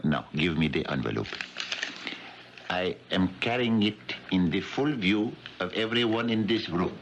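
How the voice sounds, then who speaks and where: A man's voice: sits low, animated, a little slow. An actor playing a polite, mysterious psychic guru in a 1970s radio drama, speaking in formal, ceremonious showman patter. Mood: commanding, mysterious. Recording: dull, hissy 1970s radio recording.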